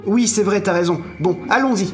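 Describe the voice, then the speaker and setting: A man's voice: sits high, brisk, over music, natural voice. A man playing a chatty holidaymaker who has become a reluctant amateur detective in an audio comedy. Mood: casual, bemused. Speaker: amateur audio drama actor. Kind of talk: French audio comedy acting.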